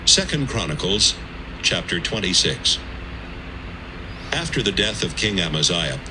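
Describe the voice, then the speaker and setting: A man's voice: low-pitched, big pitch swings, measured pace, background noise. A man giving a scripted, dramatic narration of scripture as the narrator of an audio Bible. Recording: music bed behind the voice.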